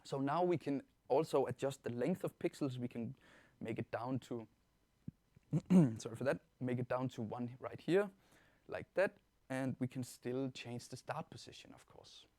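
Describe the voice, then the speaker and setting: Man, Danish accent, mid-pitched voice, informal webinar trainer, explanatory, very little room sound. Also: moderate pace, mellow, no echo. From Denmark. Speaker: webinar presenter, product trainer. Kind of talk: informal software tutorial.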